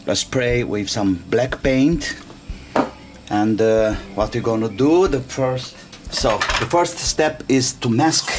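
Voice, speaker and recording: A man who speaks English as a second language, hosting a casual hands-on tutorial in simple, halting step-by-step phrases. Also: on the low side. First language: not English. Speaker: DIY tutorial host. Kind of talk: casual hands-on how-to narration. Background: music.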